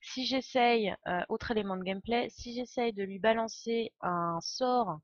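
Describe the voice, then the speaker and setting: A woman's voice: on the high side, measured pace, no echo, muffled recording. A woman streaming a game with casual, enthusiastic and digressive live commentary. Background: game music.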